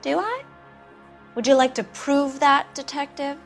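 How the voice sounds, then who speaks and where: An actress playing a jaded, evasive Hollywood producer's wife, cool, knowing and challenging, in a 1940s noir game. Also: female voice, high-pitched, lively intonation, a little slow, gravelly, faint music behind, heavily acted. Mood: defiant.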